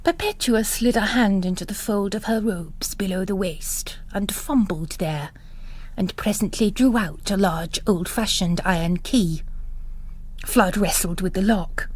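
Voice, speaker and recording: A woman reading literary fiction as narrator, in a measured, expressive storytelling delivery. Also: mid-range voice, low-quality recording. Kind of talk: dramatised audiobook storytelling.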